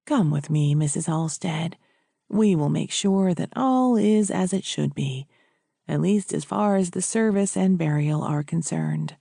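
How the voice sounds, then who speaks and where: A woman voicing a kindly, composed male priest in an audiobook, speaking gently, formally and deliberately. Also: sits low, lively intonation, vocal fry, dry recording, studio quality, voice pushed down, exaggerated voice. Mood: reassuring.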